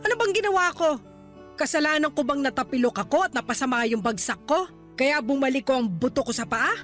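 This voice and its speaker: A woman's voice: sits high, lively intonation, fairly quick, a bit bright, faint music behind. A woman playing a stubborn, touchy but fond elderly mother who bickers and complains in a Tagalog radio drama. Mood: defensive, grumbling. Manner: feisty, complaining, bantering.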